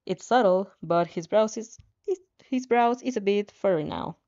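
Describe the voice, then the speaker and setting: A woman reading fan fiction aloud in an animated way, now and then stumbling and rereading a phrase, on a slightly dull recording. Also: medium pitch, moderate pace. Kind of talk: animated story reading with asides.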